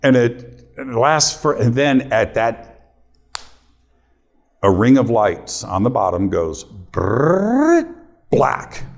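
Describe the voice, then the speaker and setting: A man's voice: mid-range voice, very animated, takes it slowly, gravelly, pauses often. A middle-aged man, a radio host, telling a story to a live audience and acting out his own quotes. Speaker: radio host giving a talk.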